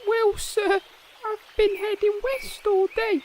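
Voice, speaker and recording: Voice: very high-pitched, little pitch movement, slow, slight background noise. A performer playing a meek, long-suffering young squire in a comedy fantasy sketch, deferential and hesitant while politely contradicting. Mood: patient.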